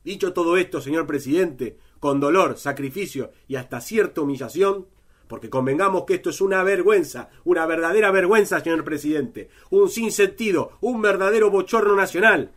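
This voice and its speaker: Male actor as Argentine lawmaker, high-voiced, pompous and declamatory, for a satirical radio sketch. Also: brisk, a bit bright. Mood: pained shame. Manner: declamatory, solemn, building into slangy rattled exhortation. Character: pompous male congressman giving a speech, indignant then absurdly eager. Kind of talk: parody parliamentary speech.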